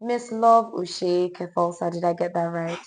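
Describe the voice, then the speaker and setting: A woman's voice: lowish voice, fairly even intonation, moderate pace. A woman hosting a podcast interview, chatty and often finishing her guest's sentences. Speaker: podcast host.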